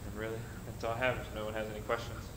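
A middle-aged man giving a plain, halting department report at a city council meeting, with many pauses. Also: on the low side, monotone, background noise. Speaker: council member. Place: council chamber at a dais. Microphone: desk mic. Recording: roomy council chamber.

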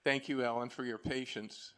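A man's voice: mid-range voice, fairly even intonation, moderate pace, a bit bright. A man hosting a conference, making brief, encouraging remarks over a video call while a speaker sets up. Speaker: conference host. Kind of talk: casual tech check before a talk.

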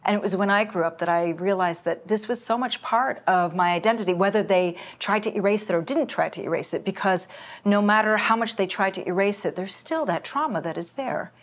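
A middle-aged woman from Palestine speaks as a lawyer on a studio panel. She talks earnestly and firmly, drawing on her own family story. Her voice has an average woman's pitch and is picked up close on a lapel mic. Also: a little fast. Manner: earnest, personal, firm. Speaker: human rights lawyer, panel guest. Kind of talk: panel discussion. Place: indoor studio set.

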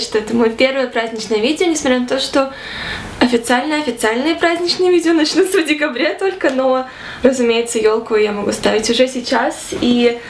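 A young woman in her twenties vlogging casually to camera in her small bedroom, chatty and animated. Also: fairly high pitch, echoey room, noisy background. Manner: chatty and animated, gesturing a lot. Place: small bedroom with fairy lights.

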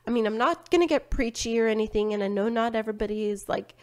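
A woman's voice: a little high, quick pace, darkish tone. A young adult woman co-hosting a podcast in casual chat, saying "like" a lot. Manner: chatty, says "like" often. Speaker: podcast co-host. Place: home studio.

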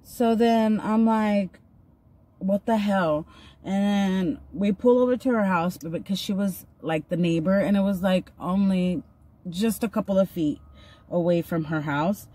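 A woman's voice: medium pitch, measured pace, warm tone. A woman in her thirties telling a personal story in a chatty, earnest way while doing her makeup, recorded on a phone in a parked car. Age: 30s. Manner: chatty, filler-heavy, earnest. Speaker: vlogger. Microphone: phone camera at arm's length.

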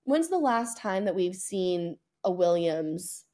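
A woman's voice: lowish voice, sing-song, slow, dark tone. A young woman co-hosting a casual sports podcast, chatting playfully and with some self-deprecation over a video call. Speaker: podcast co-host. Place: indoor room, video call.

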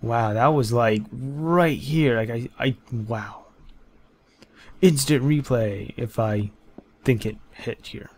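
A young man casually commentating his own game session, thinking aloud in halting bursts with lots of fillers and restarts. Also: mid-range voice. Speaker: gameplay commentator. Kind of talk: casual let's-play commentary.

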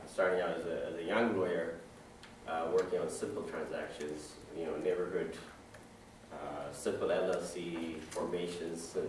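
A deep-voiced, middle-aged man from Ethiopia speaks to a class in an easy, conversational way, stopping for fillers and restarting his sentences. He is picked up by a camera far across a large, dim lecture room. The room echoes heavily around his voice. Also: slight background noise. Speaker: guest lecturer. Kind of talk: classroom talk.

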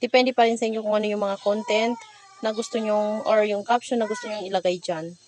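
A woman's voice: mid-range voice, fairly even intonation, a little fast, darkish tone, slight background noise. A young adult woman with a Filipino accent, giving a casual step-by-step tutorial voice-over and addressing viewers informally.

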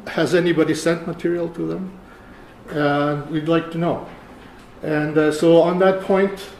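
Older guy giving a talk at a lectern, slightly high voice, halting, echoey room. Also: darkish tone. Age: older, about 70. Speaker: keynote speaker, archivist. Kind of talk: conference lecture. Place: lecture room, indoors. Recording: roomy echo, faint room noise.

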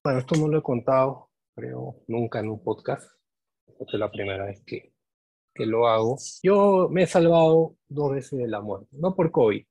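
A husky-voiced Peruvian man in his forties speaks to graduating medical students in an earnest, reflective way, often closing his thoughts with small tag questions. His voice sits at a middle pitch. The sound is close and dry, picked up by a podium microphone. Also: lively intonation, normal speed, darkish tone, no echo. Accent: Peruvian Spanish. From Peru. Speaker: medical teacher giving a speech. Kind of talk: prepared speech to graduating students. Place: indoors, conference room.

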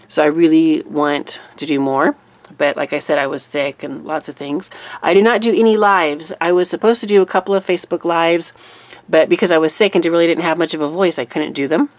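A woman YouTuber recovering from a cold, her voice deeper than normal, chatting casually through a monthly craft recap at her desk. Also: no echo. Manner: chatty, relaxed, with fillers. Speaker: papercraft YouTuber. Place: desk in a room, overhead camera on a cutting mat.

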